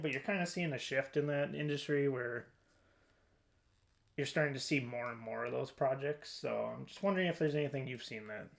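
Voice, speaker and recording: A man's voice: mid-range voice, vocal fry. A man hosting a podcast discussion in a casual, informal way. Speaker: podcast host.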